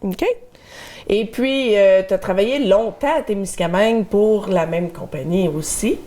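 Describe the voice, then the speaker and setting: A woman's voice: mid-range voice, measured pace, warm tone. A middle-aged woman with a Québécois French accent hosting a local community TV show, chatting and reading the news with fillers. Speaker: community TV host.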